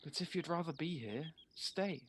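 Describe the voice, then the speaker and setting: A man's voice: on the high side, expressive, moderate pace, a bit bright. Childlike, earnest male played by an actor, slightly noisy. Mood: earnest, persuading. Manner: simple, blunt, earnest short sentences. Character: innocent, childlike, plain-spoken grieving male friend. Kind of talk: naturalistic audio drama acting. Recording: faint noise on home-device recording.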